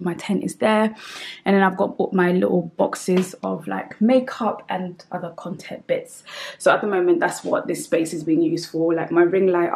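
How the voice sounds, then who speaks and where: A woman's voice: on the low side, darkish tone, a little hiss or hum. A young woman giving a casual, chatty room tour, filming on a handheld phone in a small empty room. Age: young adult. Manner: chatty, lists things, self-correcting. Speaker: home vlogger. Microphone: handheld phone camera at arm's length.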